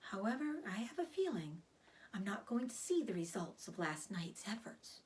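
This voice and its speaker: A woman voicing a weary, gentle, aging female spider from a children's storybook, speaking in a weak, soft half-whisper with quiet pride. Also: medium pitch, big pitch swings, close to an everyday voice. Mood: sad, tired. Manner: measured, subdued. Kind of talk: children's storybook read-aloud.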